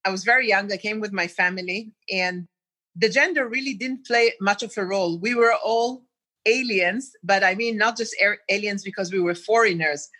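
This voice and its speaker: Bright-voiced woman diplomat guest. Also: medium pitch, normal speed. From Israel. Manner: thoughtful, searching for words with many restarts. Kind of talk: podcast interview.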